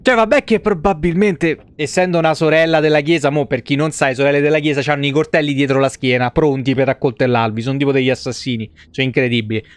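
A young man doing casual, animated live game commentary in slangy language with frequent swearing. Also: on the high side, fast, few pauses, no echo. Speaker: game streamer.

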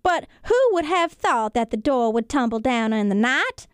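A woman voicing an excitable, practical wife in a children's folktale, with exclamatory delivery. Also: fairly high pitch, moderate pace, clean tone, no room sound, studio quality, close to an everyday voice. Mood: relieved wonder.

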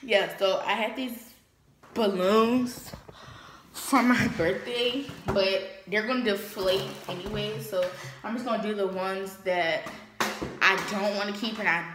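A young woman chatting casually to camera in an excitable, informal, slangy way, as the host of a home challenge vlog. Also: medium pitch, a little slow, over music. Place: small room at home, indoors. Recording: roomy echo, noisy home laptop recording.

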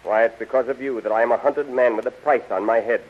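A man's voice: medium pitch, steady tone, fast, close to an everyday voice. Declamatory rebel prince, played by a man, muffled recording. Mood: resolute. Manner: declamatory, formal. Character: bold rebel prince, authoritative leader. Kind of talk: 1930s radio serial acting. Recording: muffled, hissy 1930s radio recording.

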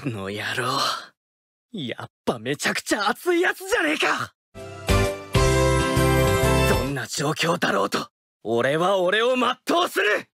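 A man voicing a loud, hot-blooded teenage boy baseball pitcher in an anime-style game. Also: wildly swooping pitch, unhurried, gravelly, pauses often, over loud music, exaggerated voice. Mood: determined.

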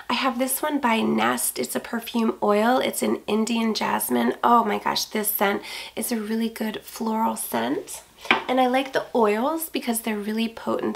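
A woman in her thirties talks with gushing enthusiasm from a small bright dressing room. Her voice sits a touch high and is raspy and gravelly from allergies. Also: a little hiss or hum. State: scratchy from allergies. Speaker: fashion vlogger. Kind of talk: chatty product review.

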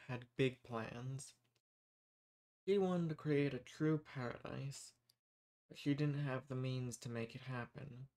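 A man voicing an arrogant, menacing archdemon in a dramatic audio roleplay, speaking in a condescending, theatrical, unhurried manner. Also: mid-range voice, a little mellow, lightly acted. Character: arrogant, menacing male archdemon who strikes bargains.

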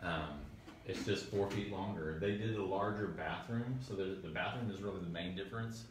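A man's voice: sits low, normal speed. A man, laid-back and technical, giving measurements during a tour of tiny homes. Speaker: tiny home builder co-host. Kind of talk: casual tour chat. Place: outdoor building lot and inside tiny houses. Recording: roomy, distant, noisy outdoor audio.

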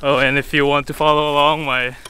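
A man's voice: a little high, level tone, fairly quick, bright, forceful tone, clean tone. A young man chatting and joking as he films a hike outdoors, laughing often, on a camera held at arm's length. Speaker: vlogger. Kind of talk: casual hiking vlog chat. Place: outdoors on a hill trail. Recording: noisy outdoor camera audio.

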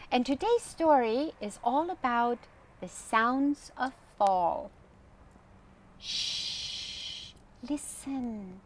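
An older woman reading a children's story aloud as a storytime host, in a gentle, expressive manner that addresses young listeners. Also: sits high. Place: outdoors on a garden bench.

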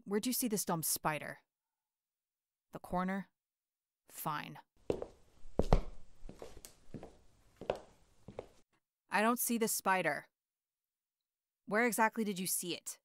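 A woman's voice: on the low side, a bit bright, clean tone, dry recording, clean studio sound. A woman voicing a brash, hot-tempered teenage boy hero in an audio roleplay; he swears constantly, boasts, and snaps at everyone. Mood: annoyed.

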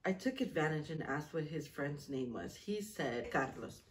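Low-voiced woman in an echoey room. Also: moderate pace, slight background noise. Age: late 30s. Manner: expressive, giggly, self-interrupting. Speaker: vlogger mom reading her old journal. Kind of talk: reading a diary aloud with casual asides. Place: small indoor room, seated at a table. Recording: roomy echo, distant mic.

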